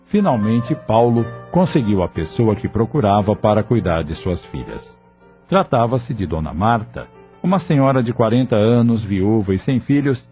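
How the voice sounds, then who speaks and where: A man narrating an audio novel in a steady, measured storytelling manner. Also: on the low side. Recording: dull lo-fi audio, faint music.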